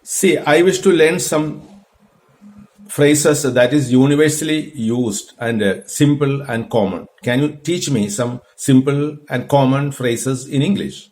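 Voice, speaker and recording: Indian man, Malayalam accent, mid-pitched voice, earnest English tutor, deliberate and repetitive. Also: darkish tone. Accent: Indian, Malayalam-influenced. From Kerala, India. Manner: earnest, deliberate, repeats and restarts phrases. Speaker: English tutor and group organizer. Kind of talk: spoken English lesson with an AI chatbot.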